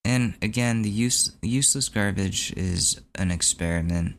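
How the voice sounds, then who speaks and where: Rambling candid man, low voice. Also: a little slow, slight background noise. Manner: rambling, candid and wry, with restarts and swearing. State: voice recovering from hoarseness. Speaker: podcast host. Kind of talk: casual solo podcast monologue.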